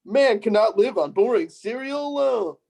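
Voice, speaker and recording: A man's voice: expressive, moderate pace, gravelly, darkish tone, full character voice. A man voicing a cheesy cartoon Christ who cheerfully sells breakfast cereal like a TV commercial, in a script read-through. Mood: cheery salesmanship. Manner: upbeat mock commercial pitch. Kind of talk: table read of a film script.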